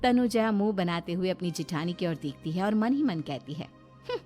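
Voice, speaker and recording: A woman narrating an animated moral story in an expressive storytelling style. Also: on the low side, normal speed, soft background music.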